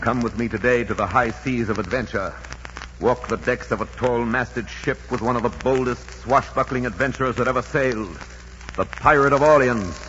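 A man's voice: mid-range voice, normal speed, lightly acted. Man acting a grandiose spirit of adventure, old radio. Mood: grand, stirring. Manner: grandiose, declamatory. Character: Adventure personified, bold, grandiose male storyteller. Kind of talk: 1940s radio dramatic narration. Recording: dull, noisy 1940s radio recording.

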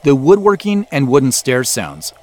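A middle-aged man with an American accent, giving measured, explanatory scripted commentary as the creator of a show. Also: medium pitch, huge pitch swings, darkish tone. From US Pacific Northwest. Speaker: audio drama creator and host. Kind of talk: scripted behind-the-scenes commentary.

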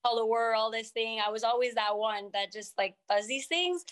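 A woman's voice: a little high, moderate pace. Young montreal woman, french canadian accent, french is her first language, chatty guest with lots of ums. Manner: chatty, many ums and restarts. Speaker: guest entrepreneur. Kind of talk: casual interview chat.

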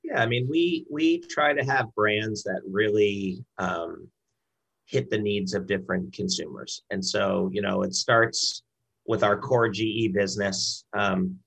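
A man's voice: on the low side, fairly even intonation, unhurried, gravelly, fairly bright tone, breathy edge, poor audio. A man speaking as a brand director and interview guest in a conversational business style, often repeating words as he explains.

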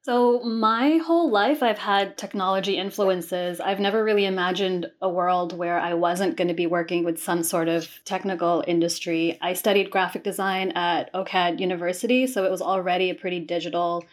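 A woman's voice: on the low side, steady tone, moderate pace, hardly any pauses. Thoughtful woman product designer. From Dubai. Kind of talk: interview answers.

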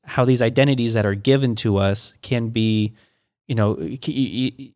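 A man's voice: medium pitch, darkish tone, dry recording, clean studio sound. A middle-aged man, a pastor, talking through ideas in a casual teaching conversation, with frequent restarts and stammers. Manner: halting, many restarts and stammers.

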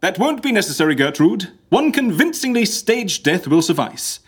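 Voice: mid-range voice, very animated, moderate pace, slightly rough. A performer playing an exasperated but helpful modern schemer, speaking dryly and conversationally in a comedic audio drama. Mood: brisk, scheming.

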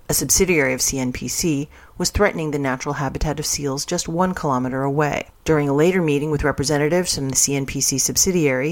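News reader with a mid-pitched voice. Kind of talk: scripted narration of news stories.

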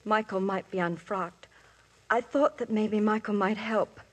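A woman's voice: mid-range voice, a little slow, natural voice. A woman playing an anxious young wife confessing. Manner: earnest, quietly desperate. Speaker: narrator of her story to police. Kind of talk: 1950s radio drama acting. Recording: old radio broadcast, faint hiss.

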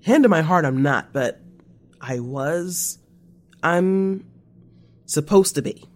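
Slow-talking, remorseful confessed spy. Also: female voice, deep voice, animated, darkish tone. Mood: sheepish. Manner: code-switches between English and Spanish. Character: remorseful confessing former spy, slangy and earnest. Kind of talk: naturalistic audio drama acting.

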